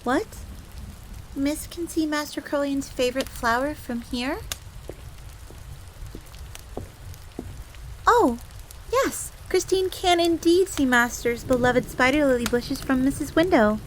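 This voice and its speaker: Casting: actress for jealous, flustered fox servant girl, roleplay audio drama. Higher female voice, prim formal phrasing, faint rain noise behind. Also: lively intonation, measured pace. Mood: awkward, flustered. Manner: formal, refers to herself in third person. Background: rain on a surface.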